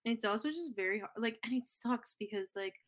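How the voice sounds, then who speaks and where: A woman's voice: fairly high pitch, brisk. A young woman from the United States, a podcast guest chatting casually and leaning heavily on fillers. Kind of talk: casual interview chat.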